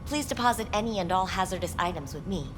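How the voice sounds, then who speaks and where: A woman playing a polished, unflappable receptionist in a video game, speaking in formal, smooth customer-service patter. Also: animated, quick pace, natural voice. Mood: polished, reassuring. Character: polished, unflappable female receptionist selling a futuristic club's services. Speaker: receptionist at a futuristic pleasure club. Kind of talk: scripted video game acting. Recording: clearly noisy rumbling background.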